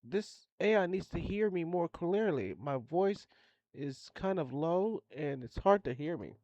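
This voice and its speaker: A man speaking softly and gently in casual talk, explaining himself patiently. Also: lively intonation, measured pace. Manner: soft, gentle, low-key speech. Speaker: listener talking with an AI. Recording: dull, dry lo-fi sound.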